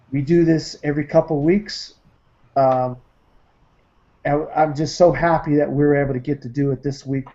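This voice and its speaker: Male podcast host, warm dark voice, eager, lots of ums, muffled online audio. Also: a little high. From Massachusetts. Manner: enthusiastic, filler-heavy. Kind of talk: casual panel show wrap-up.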